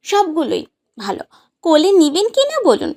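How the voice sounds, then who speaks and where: Woman acting a high-voiced, playful young bride. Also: very animated, normal speed, a little mellow. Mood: stubborn, teasing. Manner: pouty, teasing, insistent. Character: playful, demanding young new bride, sweetly bossy. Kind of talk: romantic audio story acting.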